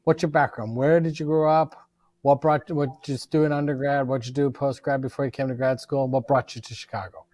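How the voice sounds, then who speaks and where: A man's voice: medium pitch, flat delivery, a little fast, a little mellow. A middle-aged man hosting a fireside chat from a lecture hall, speaking into a lapel mic, making welcoming remarks with a few restarts. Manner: friendly opening remarks with some restarts. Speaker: host, professor. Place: large empty lecture hall.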